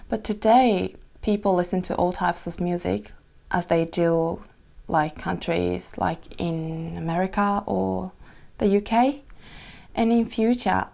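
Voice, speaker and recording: A woman's voice: on the low side, creaky, dark tone. A young woman from Japan answering exam questions in simple, careful English with small slips. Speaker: test candidate. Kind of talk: mock speaking exam answers.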